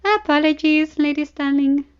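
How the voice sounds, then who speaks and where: A woman's voice: high-pitched, normal speed, highs cut off, pitched above the performer's own voice, lightly acted. A woman voicing an amused, provocative young princess with a sensual, drawling manner, as audiobook dialogue. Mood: unrepentant.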